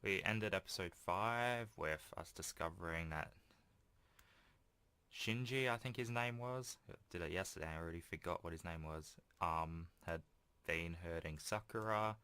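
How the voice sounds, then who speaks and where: A man's voice: low-pitched, normal speed, bright, forceful tone, clear, resonant tone, dry recording. A young man doing casual let's-play commentary and reading game text aloud. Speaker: gaming YouTuber doing a playthrough. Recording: home recording with game music behind. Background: video game music.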